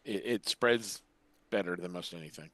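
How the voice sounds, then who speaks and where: Lowish-voiced man in his fifties. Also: normal speed, bright, forceful tone, no echo. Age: 50s. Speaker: podcast co-host. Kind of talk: casual chat.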